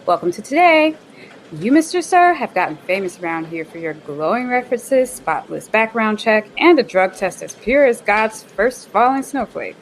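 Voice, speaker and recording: An actress playing a bubbly, bossy office manager in a radio play, talking in a chirpy sing-song way. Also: female voice, on the high side, animated, normal speed, slight background noise, natural voice. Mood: upbeat.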